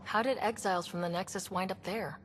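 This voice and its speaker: An actress playing a determined, confident young human explorer and leader in a video game, speaking directly. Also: female voice, mid-range voice, steady tone, a little fast, a little hiss or hum, close to an everyday voice. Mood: firm, questioning. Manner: direct, assured. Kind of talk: video game cutscene dialogue.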